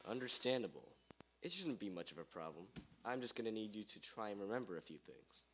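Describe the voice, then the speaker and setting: A man playing a confident, world-weary famous detective, deadpan and self-important, in a radio drama parody. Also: lowish voice, fairly quick, breathy edge, exaggerated voice. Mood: inquisitive.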